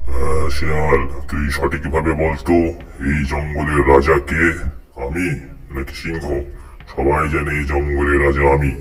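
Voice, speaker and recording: A man's voice: very deep voice, little pitch movement, unhurried. A man voicing a boastful, hot-headed tiger who thinks himself a king in a children's cartoon, with a pompous, commanding manner. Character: boastful, hot-headed male tiger claiming jungle kingship. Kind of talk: children's cartoon voice acting in Bengali fable.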